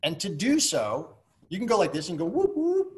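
A man's voice: high voice, wildly swooping pitch, moderate pace, noisy background. A man in his fifties hosting a virtual wine tasting over a video call, chatty and joking. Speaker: host.

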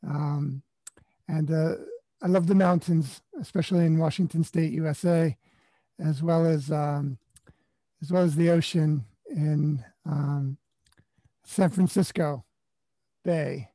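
A man's voice: on the high side, steady tone, slow, pauses often, dry recording. A middle-aged man at home on a webcam, enthusiastically sharing photos of places he loves. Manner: enthusiastic, warm about nature. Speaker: workshop participant. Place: small room at home. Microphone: webcam close up.

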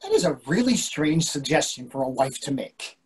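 A man's voice: a little high, big pitch swings, normal speed, slightly rough. An older man playing an anxious, earnest husband and father in a play reading, needling and conversational over a video call. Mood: insecure, joking. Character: anxious, fretful middle-aged husband and father. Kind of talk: readers theatre play reading.

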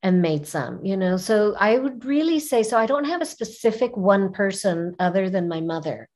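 A middle-aged woman with Arabic as her first language speaks as a guest on a video call. She tells her own story expressively at a medium pitch, often repeating a phrase to drive it home. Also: big pitch swings, moderate pace, a little mellow. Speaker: podcast guest, image consultant. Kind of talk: personal storytelling in an interview. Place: small furnished room indoors, video call. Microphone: video call, seated at webcam distance.

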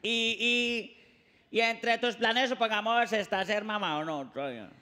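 A man's voice: high voice, measured pace, sharp tone, in a large hall, a little hiss or hum. A middle-aged man hosting a comic talk show, teasing and playful in a jumpy way. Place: TV studio set.

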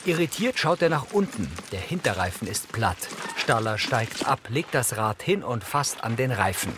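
A man's voice: medium pitch, very animated, moderate pace, heavy background noise. A man giving neutral, factual audio description in scripted present-tense narration. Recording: music behind the voice.

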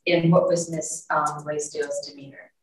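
A woman's voice: low voice, little pitch movement, a little slow. A woman speaking as a prosecutor, putting formal questions to a witness in court. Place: courtroom, picked up from afar. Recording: echoey courtroom, distant mic, faint noise.

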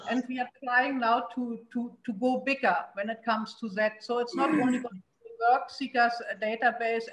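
A woman's voice: a little high, roomy sound, poor audio. A middle-aged woman with a German accent speaking on a panel over a video call. She talks earnestly and emphatically, with frequent fillers and restarts. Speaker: city investment promotion official. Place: indoor room at home. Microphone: laptop webcam on a video call.

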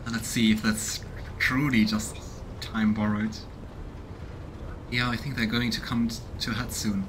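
A man's voice: fairly low pitch, little pitch movement, unhurried. A man in his thirties hosting a let's play, musing casually and reading game text aloud. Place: small home room indoors. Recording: noisy, game ambience behind voice. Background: video game ambience.

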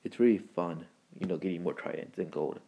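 A man hosting a gaming video with casual, rambling, halting commentary in informal words. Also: low-pitched, dark tone. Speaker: gaming video creator and host.

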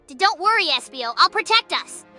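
A woman plays an excitable little cartoon bee boy with an extremely high, piercingly bright voice. He is childlike, earnest and eager, and his delivery is animated. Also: a little fast, faint music behind, full character voice. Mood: nervous, eager. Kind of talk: comic dub voice acting.

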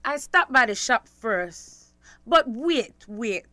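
Defiant young Belizean Creole daughter, high animated voice, radio drama. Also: female voice, slow pace, no echo, heavily acted.

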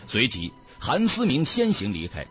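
A man narrating an audiobook in a dramatic, theatrical, expressive storyteller's manner. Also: medium pitch, moderate pace, soft background music.

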